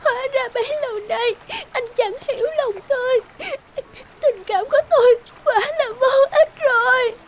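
A woman voicing a gentle, devoted young noblewoman in a folk-tale cartoon, emotional and soft-hearted. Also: very high-pitched, normal speed, crowd noise. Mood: tearful, hurt. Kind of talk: animated folk-tale dialogue.

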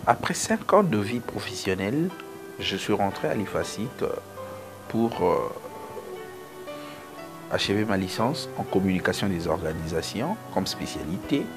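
A man's voice: low voice, sing-song, normal speed, over music. A man in his thirties speaking formally about his studies and career, with frequent hesitations. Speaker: interviewee. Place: indoors, office.

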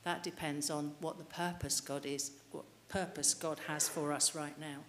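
A middle-aged woman delivers a sermon at a church lectern, her voice low and steady. She earnestly puts questions to the listeners. A long church reverb and faint hiss sit behind her. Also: moderate pace. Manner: earnest, asks the congregation questions. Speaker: preacher. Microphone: lectern mic.

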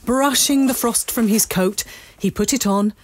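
A woman narrating a dramatic scripted story in measured, deliberate phrases. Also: on the high side, a crowd in the background. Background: music.

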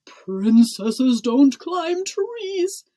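A woman's voice: on the high side, big pitch swings, unhurried, slightly rough, dry recording, clean studio sound, exaggerated voice. A woman voicing a stern, exasperated king and father in a storybook, commanding and blustering. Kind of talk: storybook character voice.

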